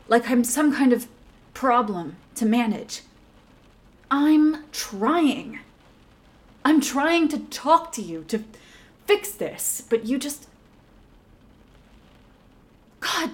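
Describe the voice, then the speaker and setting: A woman's voice: a little high, a little mellow. A woman playing a young girlfriend with a temper in a listener-directed audio roleplay, speaking emotionally and earnestly straight to the listener.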